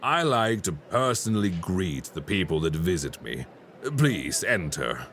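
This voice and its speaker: An actor playing a polite, quietly menacing male crime boss in an audio roleplay. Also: low-pitched, very animated, a little hiss or hum, heavily acted. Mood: smooth menace. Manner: slow, courteous and quietly menacing.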